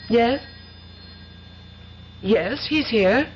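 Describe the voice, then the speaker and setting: A woman's voice: on the high side, expressive, extremely slow. An actress playing an anxious young American woman in love in a 1970s radio drama, speaking in a breathless, fretful way. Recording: dull old radio broadcast with faint hiss.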